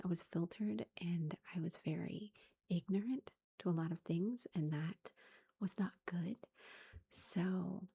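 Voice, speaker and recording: A woman in her thirties, a mother, telling a story in a soft whisper, chatty and amused. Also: lowish voice, gravelly, breathy edge.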